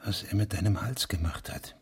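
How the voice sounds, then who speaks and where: Investigating judge from a radio play, grave and persistent. The voice sits fairly low and is strongly breathy and rough. He probes with insistent questions. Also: normal speed. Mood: quietly insistent. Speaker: actor. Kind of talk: German radio play acting.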